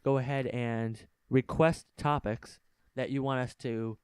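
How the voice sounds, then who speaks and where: A man's voice: medium pitch, steady tone, unhurried, a little mellow, smooth, no room sound. A young man co-hosting a casual podcast, explaining things plainly into a game controller microphone. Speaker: podcast co-host. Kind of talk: casual improvised podcast chat. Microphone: game controller mic.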